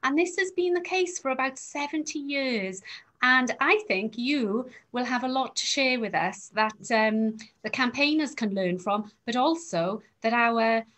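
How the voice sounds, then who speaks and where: A woman's voice: a little high, a little slow, a bit bright. A woman chairing an online rally, introducing the next speaker with frequent hesitations. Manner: hesitant, many fillers. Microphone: video call.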